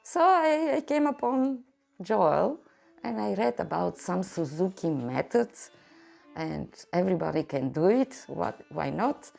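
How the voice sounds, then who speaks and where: Woman in her 60s, slow, gravelly, with faint music behind. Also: on the low side, sing-song, a little mellow. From Bulgaria. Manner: measured, hesitant, frequent "uh" pauses. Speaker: adult violin student. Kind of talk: documentary interview talk.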